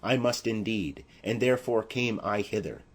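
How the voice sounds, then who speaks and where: A man playing a desperate, savage young exiled lover in a tragedy audiobook, declaiming gravely and with menace. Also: fairly low pitch, animated, normal speed. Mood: desperate pleading. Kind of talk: classical tragedy audiobook acting.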